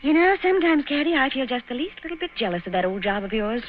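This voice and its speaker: Genteel fiancée, radio drama: light higher voice, earnest and devoted, faintly noisy vintage recording. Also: female voice, big pitch swings, quick pace, phone-quality sound. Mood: affectionate, coaxing. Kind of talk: 1950s radio drama acting.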